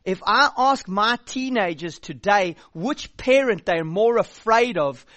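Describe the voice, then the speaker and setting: A man's voice: sits high, lively intonation, unhurried, fairly bright tone, no echo. A South African youth pastor in his thirties preaching a sermon, direct and blunt, with a dry joking edge.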